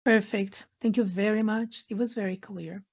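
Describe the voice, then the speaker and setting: A woman interpreting a corporate earnings call in measured phrases, pausing mid-sentence. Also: medium pitch, steady tone, breathy edge. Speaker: interpreter voicing conference call speakers. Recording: dull, lo-fi conference line audio.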